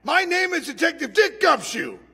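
An actor in an anime dub playing a bumbling, loud, earnest police detective with a folksy, blustering manner. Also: male voice, extremely high pitch, very animated, moderate pace, creaky, music in the background, exaggerated voice. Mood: earnest, formal.

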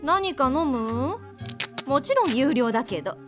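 An actress playing a brash, flashy snack-bar mama who talks bossily and sharp-tongued, in an audio drama with music behind. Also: female voice, high voice, animated, measured pace. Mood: curt.

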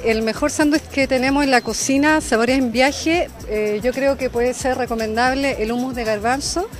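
A woman recommends a dish from her food stall, speaking calmly. Her voice sits slightly high, with faint music in the background. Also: normal speed. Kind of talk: interview answer.

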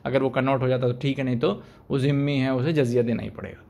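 A man's voice: medium pitch, steady tone, fast talker, gravelly, darkish tone. Young man giving pointed commentary at a desk mic. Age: young adult. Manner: pointed, argumentative, rhetorical questions. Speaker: YouTube commentator. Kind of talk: opinion commentary to camera. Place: small indoor room, plain wall. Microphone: desk microphone in front.

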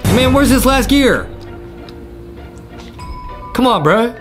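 A man's voice: very high-pitched, animated, takes it slowly, over music. A young American man hosting gameplay commentary in a hyped, exclamatory, slangy way. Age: young adult. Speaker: gaming YouTube host.

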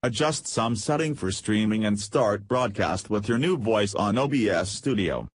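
A man reading a scripted, step-by-step software tutorial as its narrator. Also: fairly low pitch, expressive, moderate pace, husky, no room sound.

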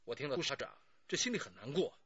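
Radio drama middle aged suspect, bright forceful voice, keeps justifying himself, bit dull recording. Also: male voice, mid-range voice, very animated, fast talker, slightly rough, dry recording, full character voice. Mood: regretful. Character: burly middle-aged man, talkative, self-justifying murder suspect.

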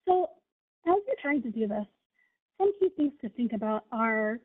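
Husky-voiced woman on a tinny line. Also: fairly high pitch, expressive, normal speed. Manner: upbeat, uses many fillers like "you know" and "right". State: scratchy voice from illness. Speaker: webinar presenter, product manager. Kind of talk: presenting slides in a webinar. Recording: tinny phone-line audio.

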